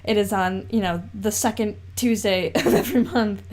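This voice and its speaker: A woman's voice: medium pitch, steady tone, normal speed, a little mellow. A woman, a podcast co-host chatting casually and reacting with wry, giggly disbelief. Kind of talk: casual chat, joking reactions.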